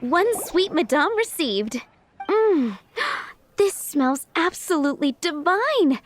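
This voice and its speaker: Flustered young restaurateur, bright high voice, lively. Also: female voice, takes it slowly, a little hiss or hum, heavily acted. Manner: earnest, flustered, businesslike. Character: young woman running a busy restaurant, harried but kind. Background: game music.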